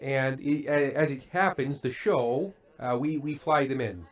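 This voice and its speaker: An actor playing a glum, fretful middle-aged variety show host in a radio play, with a halting, stammering delivery. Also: male voice, mid-range voice, lightly acted. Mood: showman patter. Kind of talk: old-time radio play acting.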